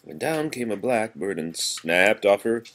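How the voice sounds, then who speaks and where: Male reader, low-pitched, parent at storytime: sing-song rhymes broken up by informal asides and explanations for a young child. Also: very animated, measured pace, crowd noise. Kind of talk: read-aloud of nursery rhymes with casual chat to a child.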